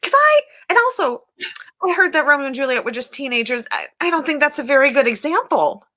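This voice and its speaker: A woman's voice: sits high, very animated, fairly quick, bright, forceful tone, full character voice. A woman acting a prim, doubtful committee member in a comic staged reading, with a hesitant, fussy manner. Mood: flustered objection.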